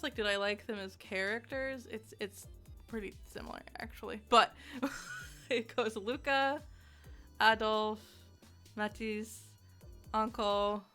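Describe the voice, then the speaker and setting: A woman's voice: mid-range voice, a bit bright. A woman giving a casual, conversational video game review in a giggly, self-deprecating manner.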